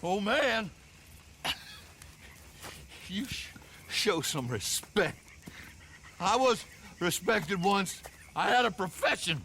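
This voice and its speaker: A man's voice: sits high, expressive, takes it slowly, creaky, long pauses, bright, forceful tone, slight background noise, heavily acted. A man playing a bitter, disgraced old working man who rambles indignantly in a video game cutscene. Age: older.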